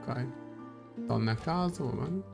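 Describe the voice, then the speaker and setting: A young Italian man streams a game from a room at home. He gives casual, medium-pitched commentary and translation, with game music faintly behind him. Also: expressive, slow pace, gravelly. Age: young adult.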